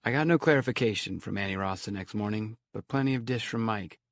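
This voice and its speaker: A man's voice: fairly low pitch, fast, dry recording, studio quality. A man reading scripted first-person story narration as an audiobook narrator.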